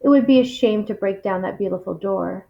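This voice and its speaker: A woman's voice: mid-range voice, a little fast, gravelly, highs cut off. A woman reading aloud expressively, in the style of a literary read-along.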